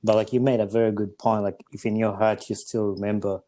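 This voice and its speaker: Man from Uganda, young podcast host, low warm voice, relaxed earnest chat. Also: fairly quick. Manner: relaxed, earnest, frequent fillers and affirmations. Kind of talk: casual interview.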